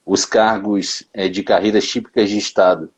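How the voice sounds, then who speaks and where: A man, answering interview questions in a relaxed way, often adding tag questions. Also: fairly low pitch, moderate pace, husky. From Bahia, Brazil. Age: 30s. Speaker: interview guest.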